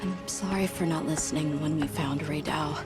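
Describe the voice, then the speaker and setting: A woman's voice: deep voice, fairly even intonation, normal speed, music in the background, close to an everyday voice. A woman voicing an earnest, determined young boy who serves as a keeper, in video game cutscene dialogue. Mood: reflective.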